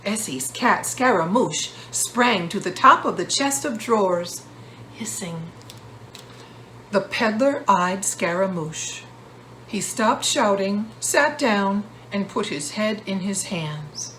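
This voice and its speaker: A woman author narrating a children's storybook in measured, deliberate phrases. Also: medium pitch, lively intonation, fairly bright tone, a little hiss or hum, poor audio. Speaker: author reading a book.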